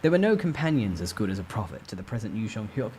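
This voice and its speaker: A man's voice: fairly low pitch, sing-song, fast talker, a little mellow, a little hiss or hum. A man narrating a novel as a dramatic, theatrical reading. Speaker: storyteller.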